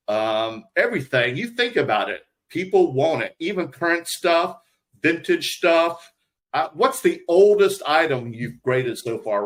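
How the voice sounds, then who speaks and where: Male podcast host, everyday mid-range voice, informal hobbyist chat. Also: medium pitch. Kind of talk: casual collector podcast chat.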